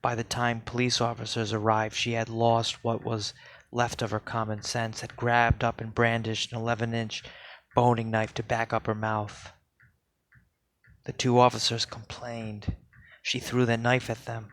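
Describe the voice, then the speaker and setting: A man reads poetry aloud in a solemn spoken-word style, with deliberate, measured phrasing and pauses. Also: medium pitch, monotone, dry recording. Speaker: poetry reader.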